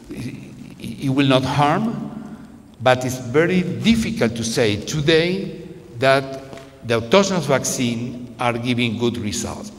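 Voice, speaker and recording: Pria dengan mikrofon genggam di aula bergema. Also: male voice, mid-range voice. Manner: non-native phrasing, frequent restarts, gestures while speaking. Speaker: keynote speaker, researcher. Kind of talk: conference keynote talk. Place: large conference room with curtains. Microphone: handheld microphone. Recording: long hall reverb.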